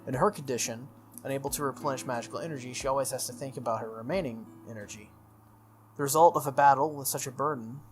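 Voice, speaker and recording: A man hosts a game playthrough, reading the story's narration aloud. His voice sits at a medium pitch, with game music running underneath. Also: fast talker. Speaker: gaming channel host. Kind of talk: visual novel narration read aloud.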